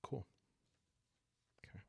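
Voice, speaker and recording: A man speaking softly and calmly in ASMR style, improvising a story aloud as he hosts a solo roleplaying game, thinking out loud with long pauses. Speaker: host playing a solo journaling roleplaying game.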